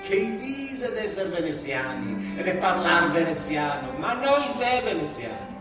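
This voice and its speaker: A man's voice: high-pitched, sing-song, measured pace, pitched above the performer's own voice. A man playing a proud, scornful old Venetian local on stage, declaiming emphatically in a Venetian accent. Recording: very echoey distant hall recording with music.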